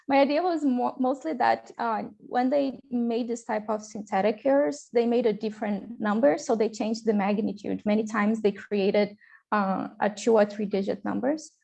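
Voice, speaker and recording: A woman's voice: a little high, fairly even intonation, normal speed, gravelly, warm tone. A woman presenting research in an online academic panel, talking with hesitant fillers and restarts.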